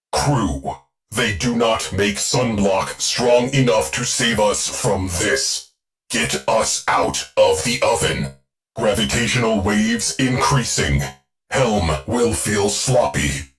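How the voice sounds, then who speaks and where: A deep, clipped ship AI. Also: male voice. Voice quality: clipped, deadpan military delivery. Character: stern male ship AI officer, terse, dry. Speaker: ship's AI officer. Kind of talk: video game voice lines.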